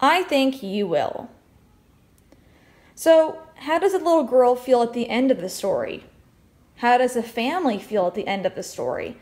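A woman with a fairly high voice reads a storybook aloud as a teacher would. She is expressive and speaks to children, stepping out of the text to explain and ask questions. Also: normal speed. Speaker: teacher reading aloud to fifth graders.

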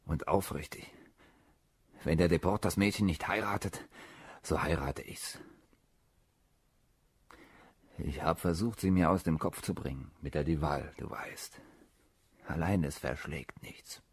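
A deep, very husky military officer in a radio play. He is in love and used to giving orders, impatient and pleading. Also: measured pace, no room sound, studio quality, heavily acted. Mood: confiding. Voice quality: husky, breathy low voice. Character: lovesick, commanding young officer, impatient and pleading. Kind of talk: German radio play acting.

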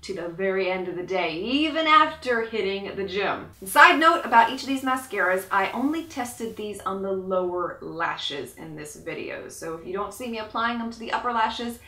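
A young woman talks straight to camera in a bubbly, emphatic way, reviewing beauty products. Her voice is bright and forward. The sound carries a roomy echo from a small indoor room. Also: medium pitch, expressive, moderate pace, few pauses. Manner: bubbly, upbeat, emphatic listing. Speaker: beauty vlogger.

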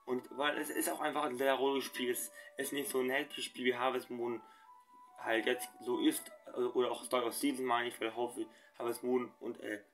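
Young male let's play host, mid-pitched, rambling with lots of fillers, faint game music underneath. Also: a bit bright. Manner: rambling, many fillers and restarts. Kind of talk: casual let's play commentary.

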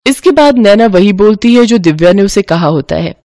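A woman's voice: medium pitch, animated, normal speed, dark tone, dry recording. Woman narrating a story, dry recording. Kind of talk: scripted narration of an animated family story.

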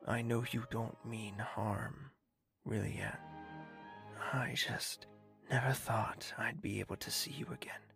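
A man playing a wealthy, smitten, possessive young nobleman in an intimate audio drama, speaking in a husky, teasing, courtly way. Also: mid-range voice, fairly even intonation, measured pace, a bit bright, faint music behind. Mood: tender. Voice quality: husky, breathy, creaky.

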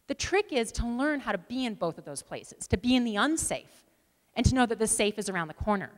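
A woman in her thirties giving a motivational keynote speech on stage, speaking deliberately and emphatically with dramatic pauses. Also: mid-range voice. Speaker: climbing expedition leader. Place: large auditorium stage with wooden floor. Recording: echoey hall with long reverb.

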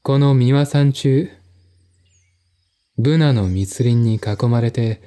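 Guy narrating an audiobook, slow n deliberate, soft dark tone, formal literary style. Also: medium pitch. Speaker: narrator, solo audiobook reader.

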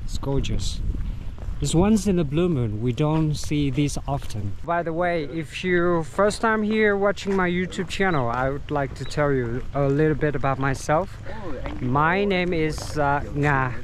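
A man with a Vietnamese accent, a tour guide vlogging casually while trekking outdoors, speaking halting English and searching for words, recorded on an action camera. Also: on the high side, lively intonation. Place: outdoors on a mountain trail. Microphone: action camera carried along.

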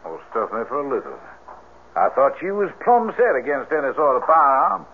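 Folksy, lively Southern country storekeeper. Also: male voice, mid-range voice, brisk. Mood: curious. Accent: rural Southern US. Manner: drawling, chatty, folksy. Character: folksy, nosy, friendly rural Southern male storekeeper. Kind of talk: 1970s radio drama acting. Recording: tinny vintage radio broadcast, faint noise.

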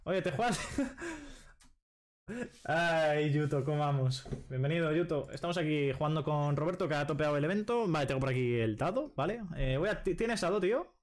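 A man's voice: a little high, expressive, normal speed. A young man from Spain, chatting casually on a live stream as he plays a card game, thinking aloud and dropping "vale" into nearly every sentence, recorded in a small room at home. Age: young adult. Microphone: desk microphone with headset.

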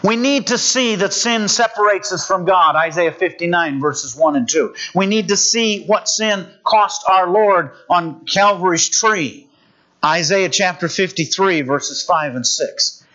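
Male preacher, fairly high voice, earnest and measured, dull lo-fi church recording. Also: pushed and bright. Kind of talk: sermon.

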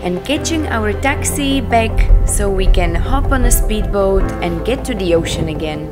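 A woman's voice: medium pitch, expressive, a little slow. A young woman hosting a travel vlog, narrating her trip in a cheerful, upbeat voice-over. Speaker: travel vlogger host. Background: loud background music.

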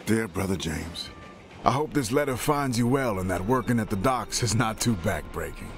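An actor playing a war-weary soldier and protective older brother, reading a letter in a grave, earnest voice-over for a game. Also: male voice, on the low side, expressive, moderate pace. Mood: weary, affectionate. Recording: music behind the voice. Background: music.

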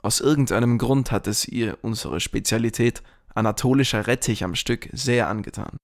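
A man playing a smooth-talking grill owner who is secretly a henchman, in a parody audio drama. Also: mid-range voice, normal speed, close to an everyday voice. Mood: reassuring.

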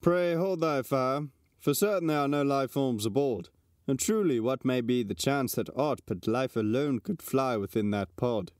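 An overconfident, dismissive officer played by a man in a Shakespearean audio drama. His voice is medium in pitch and closely, dryly recorded. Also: normal speed, darkish tone, no room sound, clean studio sound, close to an everyday voice. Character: overconfident military captain, dismissive.

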